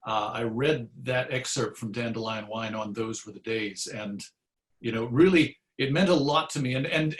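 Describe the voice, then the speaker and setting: A man with a medium-pitched voice speaks as a guest in a conversational interview. He is reflective and measured, often pausing mid-thought before carrying on. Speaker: interview guest, radio show host. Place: recorded virtual session.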